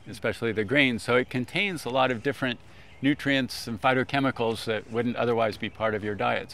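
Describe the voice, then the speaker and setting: An older man explaining things informally in a measured way, as an expert guest. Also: medium pitch, creaky, pushed and bright, a little hiss or hum. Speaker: expert guest, agricultural scientist. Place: outdoors on a lawn beside a food truck.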